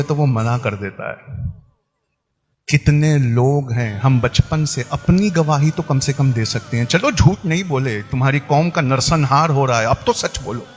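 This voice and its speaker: A man with an Indian accent giving impassioned religious oratory in a deliberate, rhetorical, emphatic way. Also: mid-range voice. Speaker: religious speaker addressing a gathering. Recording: echoey hall PA with background music.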